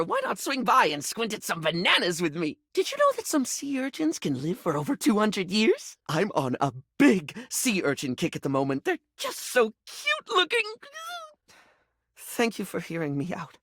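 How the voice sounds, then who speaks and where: A fabulous, theatrical male video game object brought to life. His light, high voice swings dramatically up and down as he gushes and rambles, affectionate yet insecure. The sound is close and dry. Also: a bit bright, no echo, heavily acted. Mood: flamboyant, bubbly, then confessional, vulnerable. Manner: theatrical, gushing, rambling, camp endearments. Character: flamboyant, chatty, insecure male living toiletry object, scatterbrained and affectionate. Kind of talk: video game character acting.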